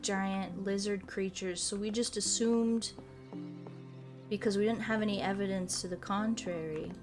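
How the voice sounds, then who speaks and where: A woman with a medium-pitched voice chats casually as she streams. She is enthusiastic and wanders into tangents, with the odd swear word thrown in. Soft music plays behind her. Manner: enthusiastic, animated, profane, rambling tangents. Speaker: video game streamer. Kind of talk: casual rambling stream chat. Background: background music.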